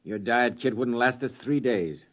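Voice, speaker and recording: A man's voice: medium pitch, measured pace, lightly acted. An actor playing a practical male expedition leader with a wry, blunt way of talking, in 1950s radio drama style. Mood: grim.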